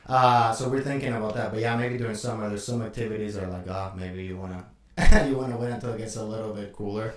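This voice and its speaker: A man's voice: lowish voice, quick pace, recorded from a distance. Man talking in an echoey room. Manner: relaxed, with frequent "uh" fillers. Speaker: co-host. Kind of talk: live stream chat.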